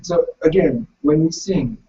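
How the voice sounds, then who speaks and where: A man with a big voice, teaching a ritual step by step in a lively way and switching between French and English as he explains. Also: on the high side, measured pace, muffled recording. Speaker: meditation teacher.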